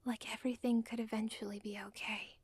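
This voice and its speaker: A woman's voice: fairly high pitch, little pitch movement, fairly quick, a little mellow, slightly rough. A woman voicing an unstable, lovestruck young villain's daughter in an ASMR role play, speaking in an intimate, pleading whisper. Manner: intimate, pleading, self-aware.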